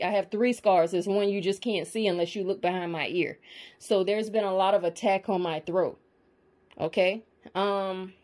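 A woman's voice: fairly low pitch, darkish tone. A woman in a casual monologue to her viewers, emphatic and profane, often checking in with the audience. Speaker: spiritual vlogger.

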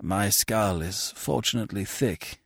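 A man reading aloud voices an injured detective, hoarse and weak, speaking with precise dry understatement. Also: on the low side, a little slow, fairly bright tone, no echo, heavily acted. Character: injured, weakened man detective, clipped and determined.

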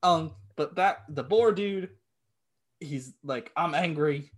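A man with a slightly high voice talks in a blunt, sarcastic way and swears freely. He co-hosts a loose, casual podcast chat. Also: animated, slow. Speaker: podcast co-host. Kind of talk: casual chat reviewing a film.

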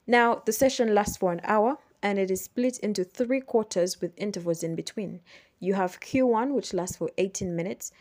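A woman's voice: medium pitch, a little mellow. A young woman from Uganda hosting her own podcast, chatting through a race recap and explaining things step by step, correcting herself as she goes. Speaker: podcast host. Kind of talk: solo sports recap podcast.

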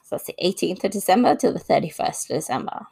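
Woman, slightly low pitch, noticeable vocal fry, informal folklore narration, reading notes with casual asides. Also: big pitch swings, fast talker, mellow. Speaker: host.

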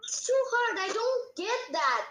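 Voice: very high voice, very animated, unhurried, a bit bright. A child co-hosting a casual guessing game, chatting informally in an echoey room. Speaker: podcast co-host.

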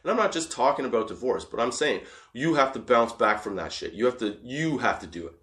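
A man's voice: mid-range voice, expressive, brisk, fairly bright tone. Profane, rambling stoner man in echoey room. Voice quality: profane, laughs often. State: high on cannabis. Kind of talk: rambling casual monologue.

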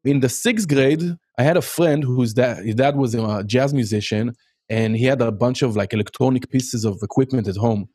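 A man's voice: mid-range voice, moderate pace, dark tone, breathy edge, dry recording, studio quality. A man in his thirties from Israel with an Israeli accent, chatting as an interview guest and telling stories with many fillers and restarts. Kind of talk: casual interview chat.

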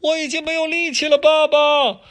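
A man putting on a high wailing little boy. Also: level tone, normal speed, a bit bright. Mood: plaintive. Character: young boy stuck down a drain.

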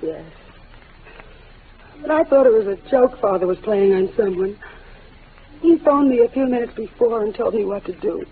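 A woman's voice: a little high, animated, normal speed, long pauses, slightly rough. An actress playing a poised, defensive young woman in a 1940s radio melodrama, genteel and theatrical. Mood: pleading, distraught. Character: poised, defensive young woman shielding her guilty father. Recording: tinny, noisy old radio broadcast.

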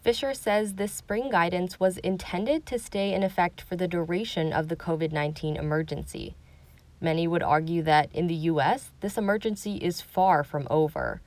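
A woman reading a scripted news report as host, in a measured, even delivery. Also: on the low side.

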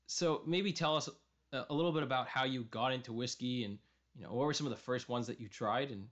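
A man's voice: medium pitch, brisk, fairly bright tone. A man hosting a podcast, asking questions in a casual interview style. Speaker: podcast host. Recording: slightly dull, lo-fi audio.

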